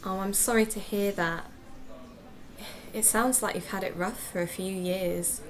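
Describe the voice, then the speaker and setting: A woman's voice: mid-range voice, steady tone, measured pace, close to an everyday voice. An actor playing an earnest, polite woman who investigates mysteries for a podcast, in scripted audio drama. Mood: polite, sympathetic. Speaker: podcast host and narrator.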